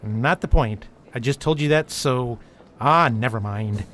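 A woman's voice: a bass voice, a little slow, fairly bright tone, a little hiss or hum. Woman playing a wry, guarded veteran detective. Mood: wry, awkward. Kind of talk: audio drama acting.

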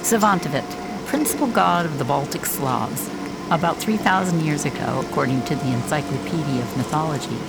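A woman acting a cautious, principled researcher of alien cultures in a science fiction radio drama, with a gravelly voice. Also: low voice, brisk, heavy background noise. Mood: measured.

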